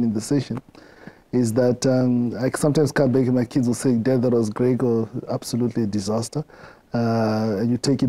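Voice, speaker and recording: A middle-aged man with a Zimbabwean accent, answering interview questions in a reflective way, often halting and repeating words. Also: medium pitch, warm tone, low-quality recording. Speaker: interview guest.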